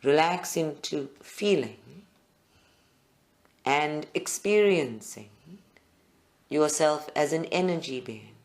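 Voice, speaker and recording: A meditation guide leads a live group session in short, deliberate phrases with pauses between them. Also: medium pitch, expressive.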